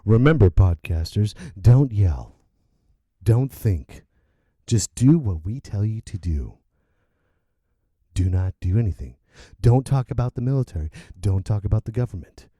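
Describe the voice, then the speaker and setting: Podcast host, male, animated rant voice, swings wide in pitch, close dry sound. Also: measured pace, long pauses, husky, dry recording. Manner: loud, animated ranting with sudden yells. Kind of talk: opinion rant.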